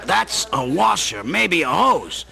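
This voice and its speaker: A man's voice: fairly high pitch, big pitch swings, slow, gravelly, sharp tone, heavily acted. A man playing a skeptical, bossy working-class plumber, the older brother, in a radio play, with brusque sarcasm. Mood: loud, exasperated.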